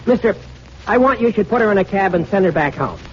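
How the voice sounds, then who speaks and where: A man's voice: high-pitched, expressive, fast. An actor playing a harried, pushy working-class father with slangy, stammering talk in a 1940s radio comedy. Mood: urgent, pleading. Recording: muffled old radio, faint noise.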